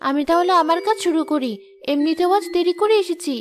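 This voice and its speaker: A woman voicing a poor, self-sacrificing village mother in a cartoon, earnest and gentle, with music behind. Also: very high-pitched, fairly quick. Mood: earnest, dutiful. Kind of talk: moral-story cartoon acting.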